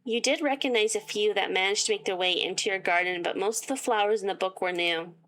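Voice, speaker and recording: A woman reading a visual novel aloud with sarcastic asides, her voice getting worn and hoarse. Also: medium pitch, quick pace, a bit bright, low-quality recording. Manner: reads game text aloud and breaks off with sarcastic asides. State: losing her voice. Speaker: gaming YouTuber.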